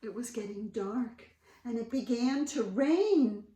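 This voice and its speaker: A woman reads a picture book aloud for young children. Her high voice moves widely up and down, with dramatic emphasis and questions to the listener. It sounds echoey, as if recorded a little away from the mic. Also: expressive, slow, warm tone. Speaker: children's read-aloud host. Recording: roomy echo, distant mic.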